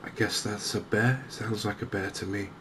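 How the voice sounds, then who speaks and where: A man softly narrating a game in ASMR style, hushed and hesitantly thinking aloud. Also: lowish voice, fairly even intonation, normal speed. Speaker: gaming video host. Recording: noticeable background ambience noise. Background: game ambience.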